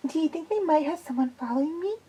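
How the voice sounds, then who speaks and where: A woman voicing a nervous, jumpy young woman who speaks haltingly. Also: sits high, lively intonation, dark tone, voice pushed up. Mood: anxious. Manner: anxious, halting.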